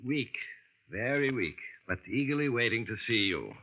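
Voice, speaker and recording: A husky, formal family lawyer. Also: male voice, mid-range voice, lively intonation, normal speed. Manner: formal, hesitant with fillers. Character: formal middle-aged lawyer, solicitous. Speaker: family attorney. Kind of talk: 1940s radio acting. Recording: tinny vintage radio broadcast.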